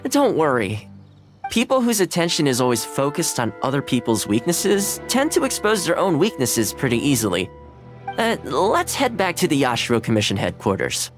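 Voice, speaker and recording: Shrewd young housekeeper, easygoing, reassuring, animated pitch, game dialogue, background music. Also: male voice, normal speed. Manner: reassuring, self-assured, chatty. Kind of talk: video game voice acting.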